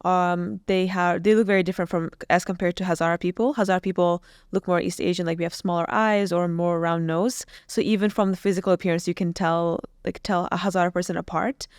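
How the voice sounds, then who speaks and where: Young woman guest from Iran, smooth clear voice, dry studio sound, earnest and reflective delivery. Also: on the low side, steady tone, a little fast, few pauses, a little mellow, no echo. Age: young adult. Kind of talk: podcast interview. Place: small podcast studio. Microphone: boom mic on stand.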